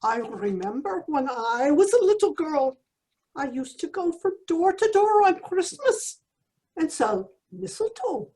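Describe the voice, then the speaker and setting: A very shrill, high-pitched landlady in a radio comedy. She draws her words out slowly and theatrically, swooping between folksy endearments and scolding. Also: very animated, mellow, poor audio. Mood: cheery, doting. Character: shrill, sentimental Irish landlady, easily affronted. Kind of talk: old-time radio comedy acting.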